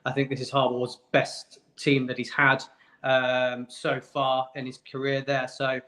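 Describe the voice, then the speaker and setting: A man's voice: mid-range voice, monotone, measured pace, pushed and bright. A man who is a regular podcast panelist, giving casual sports analysis.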